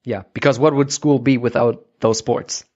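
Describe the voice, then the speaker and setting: A man's voice: mid-range voice, fairly even intonation, normal speed, a little mellow, dull audio. A man hosting a casual interview, chatting informally and asking questions. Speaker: podcast host, interviewer.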